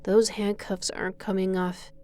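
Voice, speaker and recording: A woman playing a menacing, mocking lighthouse keeper who taunts her captive in a slow thriller audio roleplay, slipping into breathy whispers. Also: mid-range voice, level tone, noisy background, natural voice.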